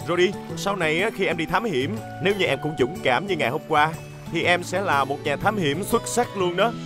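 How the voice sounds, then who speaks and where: Actor doing a brave kind cartoon boat big brother, mid pitch, loud music behind. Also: lively intonation, fairly quick, lightly acted. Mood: kindly, encouraging. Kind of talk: dubbed children's cartoon acting.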